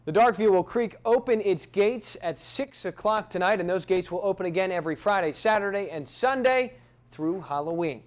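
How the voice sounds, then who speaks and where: High-voiced American male news reporter. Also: moderate pace, no room sound. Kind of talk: scripted news narration. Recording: faint background noise.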